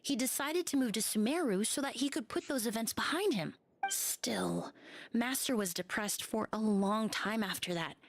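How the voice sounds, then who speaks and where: An actress playing a composed, courteous young female perfumer investigating a case in a video game, speaking in a measured, formal way. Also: on the high side, expressive. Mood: reflective. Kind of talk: scripted video game voice acting.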